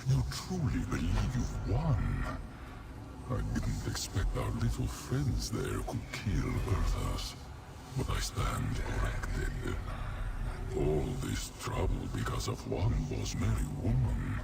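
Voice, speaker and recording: An actor playing a menacing, huge demonic male lord in a video game, talking slowly and mockingly. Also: big pitch swings, heavy background noise, exaggerated voice. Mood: mocking menace. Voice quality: deep gravelly booming voice. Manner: mocking, slow, imperious. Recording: roomy echo with loud ambience.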